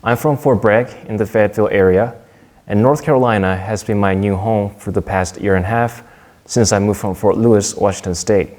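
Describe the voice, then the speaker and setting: A young man from Korea giving a prepared Dharma talk in a measured, earnest way, with a few small restarts. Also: lowish voice, a little mellow.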